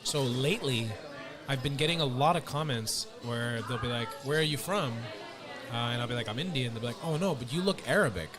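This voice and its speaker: A man of middle pitch hosting a relaxed podcast chat, telling stories and acting out other people's lines. Clear crowd chatter runs behind him throughout. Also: brisk, over music. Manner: animated storytelling, acts out other people's lines. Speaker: podcast host. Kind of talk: casual chat.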